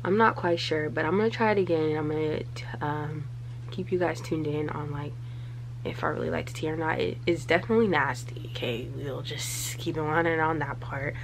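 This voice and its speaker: A woman's voice: sits low, fairly even intonation, normal speed, background noise. A young woman in her twenties, chatting casually to camera in her small bedroom, candid and loose with plenty of fillers. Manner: chatty, candid, lots of fillers and trailing thoughts. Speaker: vlogger. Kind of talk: casual vlog talk to camera.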